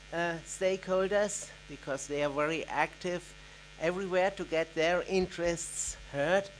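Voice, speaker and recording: Measured man asking a formal question. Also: fairly high pitch, a bit bright, slight background noise, low-quality recording. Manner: measured, deliberate, with occasional uhs. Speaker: NGO representative, audience member. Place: conference hall.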